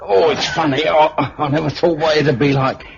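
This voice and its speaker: A man's voice: a little high, steady tone, moderate pace, creaky. An actor playing a chirpy, easygoing working-class man in a 1950s British radio drama, matey and joking. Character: chirpy, easygoing working-class Londoner, blind captive follower. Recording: muffled, hissy 1950s radio recording.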